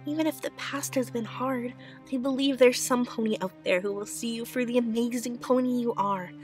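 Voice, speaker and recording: Woman as a young cartoon pony mare, high voice, eager, earnest, easily flustered, radio drama, music underneath. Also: steady tone, normal speed, lightly acted. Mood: gentle, earnest. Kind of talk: fan radio drama acting.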